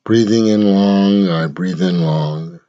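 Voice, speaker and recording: A man leading a guided meditation in a measured, instructional way, speaking in short phrases with long pauses. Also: deep voice, warm tone, lo-fi sound.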